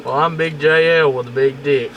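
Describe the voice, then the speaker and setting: A man's voice: on the high side, steady tone, normal speed, very noisy. A man taunting someone with crude jokes over a CB radio handset from a parked truck. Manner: crude, taunting, laughs a lot. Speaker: CB radio caller. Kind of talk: crude joking banter on CB radio.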